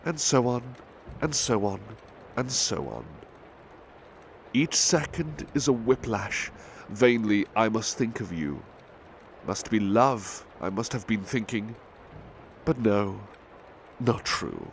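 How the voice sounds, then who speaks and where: A man playing a big-hearted butler, reading poems in a measured, gentle, formal ASMR style. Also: lowish voice, expressive, vocal fry, exaggerated voice, poor audio. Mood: measured, wistful. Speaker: ASMR roleplay performer. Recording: faint ambient background noise.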